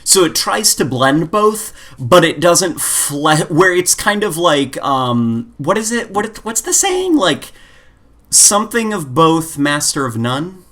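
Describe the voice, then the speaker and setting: A man's voice: fairly high pitch, very animated, slow, a bit bright. A man co-hosting a gaming podcast in casual chat, rambling with opinionated exasperation. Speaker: podcast co-host.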